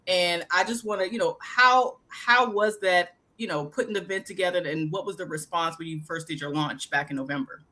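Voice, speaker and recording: An enthusiastic interview host who introduces the guest warmly and heaps praise on her. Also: medium pitch, fairly quick, hardly any pauses. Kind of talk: casual interview hosting.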